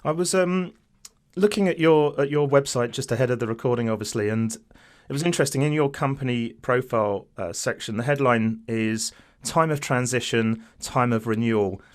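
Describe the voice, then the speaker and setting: A man's voice: medium pitch, normal speed. Male podcast host moderating an interview.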